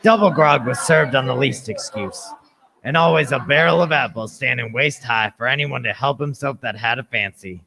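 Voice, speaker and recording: A man's voice: mid-range voice, normal speed, vocal fry, crowd noise, natural voice, low-quality recording. A man playing a cabin boy who narrates a sea adventure in a radio play, in scripted storytelling style.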